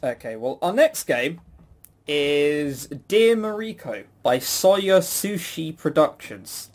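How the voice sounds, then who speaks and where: Animated man with a lively, higher pitch. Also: takes it slowly. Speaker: podcast host. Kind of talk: casual chat reviewing visual novels.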